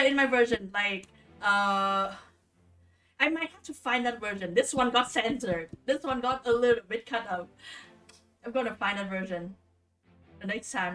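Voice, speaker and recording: A woman streamer reading stories and commenting casually, with an informal, halting, rambling way of talking. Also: medium pitch, a bit bright, far from the mic. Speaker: streamer reading folktales aloud. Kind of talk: casual livestream storytelling and commentary.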